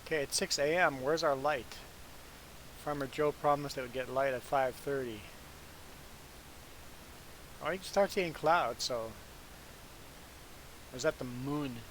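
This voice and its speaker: Man, native Low German speaker, from Canada, medium pitch, casual game-stream chat, hissy recording. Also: unhurried, long pauses, fairly bright tone, rough recording. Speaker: livestream gaming host. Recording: clear background hiss.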